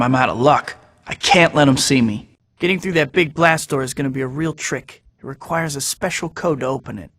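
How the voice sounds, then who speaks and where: An actor voicing a young masked superhero in a video game, talking himself through each task with wry jokes. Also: male voice, mid-range voice, fairly bright tone, long reverb tail, close to an everyday voice. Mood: determined. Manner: wry, joking asides to himself. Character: young male masked web-slinging superhero, wisecracking. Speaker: video game hero voice clips. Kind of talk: game voice-over lines.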